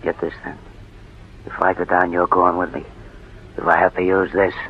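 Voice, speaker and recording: Desperate slangy crook, real low voice, muffled old radio. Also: male voice, little pitch movement, moderate pace, a little hiss or hum. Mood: menacing. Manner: slangy, hard-boiled. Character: desperate, threatening male crook with a gun. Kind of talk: 1940s radio gangster acting. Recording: dull old radio recording.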